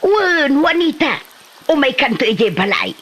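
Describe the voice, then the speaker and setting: Village moneylender played by a woman, Ilocano comedy drama: animated, bright, swooping delivery, neighbourly and proud. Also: sits high, measured pace, exaggerated voice. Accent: Filipino, Ilocano.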